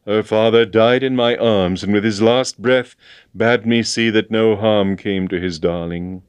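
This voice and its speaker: A man's voice: fairly low pitch, fairly even intonation, unhurried, smooth, no room sound, natural voice. A man voicing a stern, sentimental old colonel with a grave, emphatic military manner, in a storybook reading. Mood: solemn, sentimental. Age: older.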